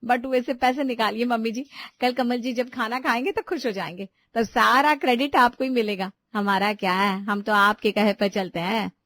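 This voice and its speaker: A woman's voice: on the high side, fairly even intonation, normal speed, a bit bright, dry recording, studio quality, lightly acted. A woman voicing a crafty, sweet-talking young daughter-in-law in a family story, coaxing and persuading. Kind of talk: animated Hindi family story dialogue.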